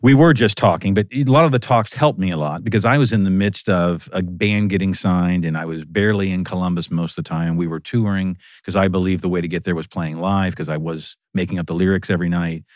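A man hosting a casual conversation, animated and laughing often. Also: sits low, fairly quick, hardly any pauses, no room sound, studio quality.

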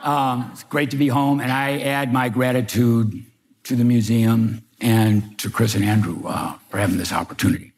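A man's voice: medium pitch, steady tone, moderate pace, darkish tone. Older man recalling his career at a talk. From Chicago. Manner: wry storytelling with hesitant restarts. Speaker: panel speaker, former FBI agent. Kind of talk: public talk, personal recollection. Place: museum event room.

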